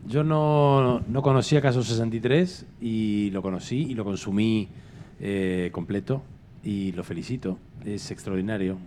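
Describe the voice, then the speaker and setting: A man moderating a panel at a live event, speaking in a halting way and repeating phrases as he thinks. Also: fairly low pitch, a little mellow, a little hiss or hum. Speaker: host moderating a conference panel. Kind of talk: spoken commentary at a live talk.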